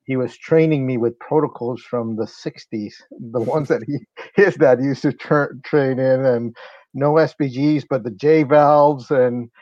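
A man's voice: mid-range voice, fairly even intonation, unhurried, darkish tone, dry recording. Man from Honduras, dry dull sound. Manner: thoughtful, explanatory, many fillers. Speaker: director of sales and marketing, guest. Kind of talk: casual interview.

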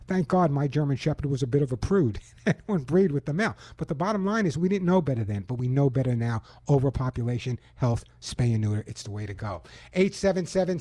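A man's voice: on the high side, warm tone, dry recording. A man hosting a call-in pet radio show in a studio, giving emphatic, self-assured advice and reading ads. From Long Island, New York. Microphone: studio microphone.

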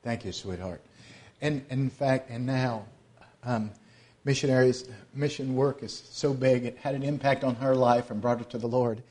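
A man's voice: medium pitch, fairly even intonation, darkish tone. Earnest man giving a missions talk. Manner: earnest, halting with repeated restarts. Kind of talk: church missions presentation.

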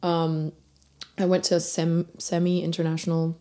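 A woman's voice: deep voice, completely flat delivery, normal speed, warm tone. A young woman from Denmark, a rapper guest in a casual interview, chatty with many fillers and restarts.

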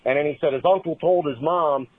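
Male caller, phone-line audio, halting and restart-heavy delivery. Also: on the high side, dry recording. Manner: halting, many fillers and restarts. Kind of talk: recorded voicemail story.

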